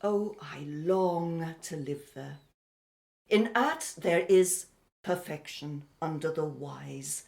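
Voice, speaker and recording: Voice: mid-range voice, slow pace, vocal fry, a little mellow. An actor playing a precise, haughty, educated foreign exile in a radio play, with formal, pedantic phrasing. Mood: dreamy.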